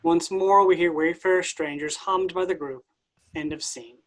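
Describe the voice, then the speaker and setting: A woman's voice: lowish voice, fairly even intonation, normal speed. A woman reading stage directions aloud in a staged play reading.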